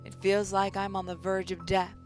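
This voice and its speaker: A woman with a mid-range voice reads a visual novel aloud as a gaming host. Her delivery is theatrical with casual touches, and music plays clearly behind her. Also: medium pitch, little pitch movement, normal speed. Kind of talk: dramatic first-person story reading with casual asides. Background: game music.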